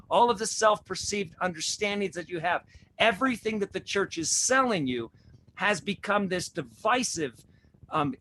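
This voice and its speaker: A man's voice: sits high, a bit bright, slight background noise, rough recording. A man hosting a religious talk show, preaching with repeated rhetorical questions. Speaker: host.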